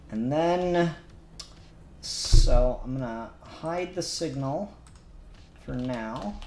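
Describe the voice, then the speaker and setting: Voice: mid-range voice, darkish tone, slight background noise. A livestreamer chatting casually and muttering to themselves while working, with the odd swear word. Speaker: livestreamer doing 3D modelling. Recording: roomy echo with music behind.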